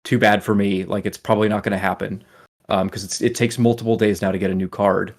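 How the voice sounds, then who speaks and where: A man with a fairly low voice talks casually as a podcast co-host. He explains things at length in an informal, conversational way and swears offhandedly. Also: flat delivery, fast. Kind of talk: casual gaming podcast chat.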